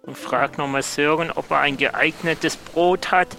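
A man's voice: a little high, steady tone, a little slow, gravelly, sharp tone, faint music behind. A man role-playing as a player in an improvised audio adventure, using his own voice. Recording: faint background noise and ambience.

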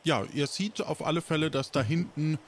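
A man's voice: fairly high pitch, moderate pace, faint music behind. A man narrating an improvised audio story as game master in a casual, conversational, prompting way.

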